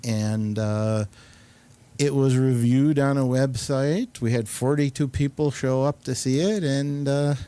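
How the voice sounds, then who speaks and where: A man hosting a casual interview show, speaking in a halting, hesitant manner. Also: medium pitch, mellow, clean tone. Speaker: talk show host.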